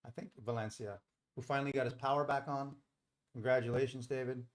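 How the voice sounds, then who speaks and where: A man's voice: mid-range voice, fairly even intonation, brisk. A middle-aged man hosting a live stream, talking casually and explaining things in a rambling, informal way. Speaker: live stream host and trader.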